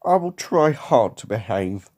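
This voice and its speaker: A man's voice: mid-range voice, sing-song, measured pace, a little mellow. A man voicing a proud, emotional and determined steam engine with a puffing, exclamatory manner for a storybook. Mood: sorry.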